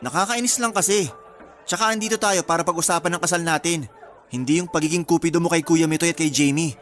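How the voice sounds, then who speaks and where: A man's voice: on the high side, fast, a bit bright, faint music behind, lightly acted. A man playing a jealous, possessive groom-to-be with a curt, challenging manner in a radio drama. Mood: irritated, jealous.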